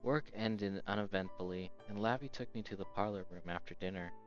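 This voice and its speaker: A man's voice: low voice, a little fast, lo-fi sound. A man reading a visual novel aloud as a let's-play host, narrating with casual asides and occasional stumbles. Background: game background music.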